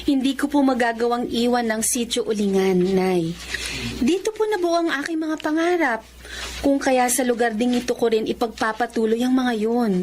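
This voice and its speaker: A woman's voice: a little high, normal speed, noisy background, lightly acted. A woman in her twenties playing a young accountant and devoted daughter in a Filipino radio drama, earnest and polite. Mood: reassuring. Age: 20s. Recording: radio drama with music behind.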